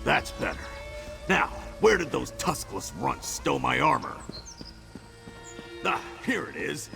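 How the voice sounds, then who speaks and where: Gravelly, lively, wry ex-prisoner for game dialogue. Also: male voice, mid-range voice, slow pace, music in the background, exaggerated voice. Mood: determined. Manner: dry, joking, gruff. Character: wry freed male prisoner, tough adventurer.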